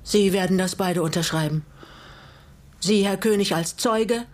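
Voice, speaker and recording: Casting: woman for a radio crime play, acting a steely older widow set on justice, imperious and sharp-tongued. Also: mid-range voice, unhurried, natural voice. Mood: cold, threatening. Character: bitter, determined widow out for justice, commanding.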